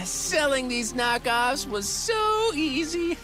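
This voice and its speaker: Slow, gloating small-time crook. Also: male voice, very high-pitched, sing-song, over music, heavily acted. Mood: smug, gloating. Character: greedy smug male small-time crook. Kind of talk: theatrical game cutscene voice acting.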